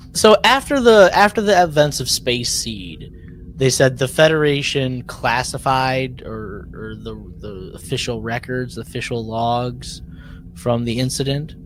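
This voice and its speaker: A man talks casually as a podcast co-host, recapping in loose, conversational fashion. He starts phrases, repeats them and rewords as he goes. His voice sits at an average male pitch, with some noise behind it. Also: expressive, unhurried.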